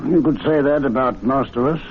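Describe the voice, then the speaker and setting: An actor playing a measured, wry gentleman club member in a radio drama, dry and articulate. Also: medium pitch, natural voice. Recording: dull, noisy vintage radio recording.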